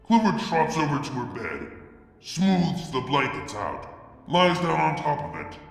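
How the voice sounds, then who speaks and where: A man reading a fan fiction story aloud, in a measured, expressive way. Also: a little high, gravelly. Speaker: fanfic reader. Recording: echoey room with music. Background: music.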